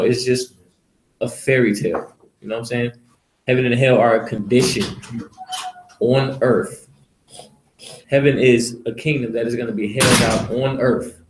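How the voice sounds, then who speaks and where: A man's voice: mid-range voice, a little mellow. A man preaching casually to a small group, blunt and profane, rambling with many fillers. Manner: blunt, rambling, profane, repeats "you know". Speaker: street preacher leading a teaching session. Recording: echoey room.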